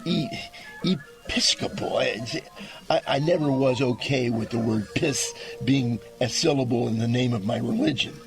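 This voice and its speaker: A man's voice: medium pitch, normal speed, soft background music, lightly acted, low-quality recording. A man playing an earnest, dim, easily confused guy who stammers and hesitates in scripted comedy dialogue. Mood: defensive, confused.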